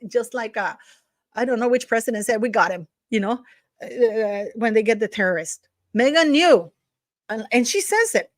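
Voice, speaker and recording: A woman's voice: on the high side, dry recording, clean studio sound. A woman with a non-native English accent gives a scornful, gossipy commentary at home, sometimes swearing. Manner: scornful, gossipy, emphatic, drops curse words. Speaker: YouTube commentator. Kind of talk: casual ranting commentary.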